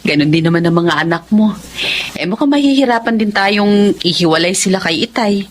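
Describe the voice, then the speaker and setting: A woman playing an understanding, practical wife in a Filipino radio drama, calm and reassuring, with a Filipino accent. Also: mid-range voice, a little fast, slight background noise, natural voice. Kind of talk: Tagalog radio drama acting.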